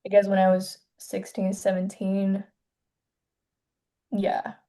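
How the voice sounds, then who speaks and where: Soft-spoken young woman from China. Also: mid-range voice, monotone. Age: 20s. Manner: soft-spoken, hesitant, many pauses and restarts. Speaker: filmmaker guest. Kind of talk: casual interview.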